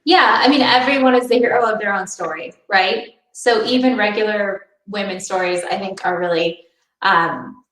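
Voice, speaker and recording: A woman's voice: medium pitch, moderate pace, fairly bright tone. A woman hosting a book talk as interviewer over a video call, friendly and curious, with many fillers. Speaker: interviewer host. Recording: roomy, distant video call audio.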